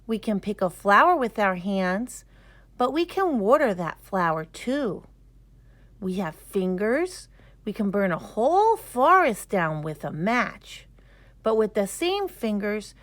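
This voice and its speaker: An earnest little boy from a storybook, acted out by a woman, curious and full of wonder. The voice is raised high and swings widely as he puts his points eagerly and emphatically, in a very dry, close sound. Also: a bit bright, no room sound, exaggerated voice. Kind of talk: children's storybook reading.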